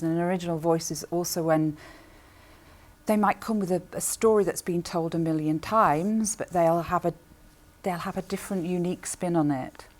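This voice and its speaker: A woman speaks in a fairly low register. Her delivery is conversational, like a producer sharing advice in an interview. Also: darkish tone. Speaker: radio drama producer giving writing advice.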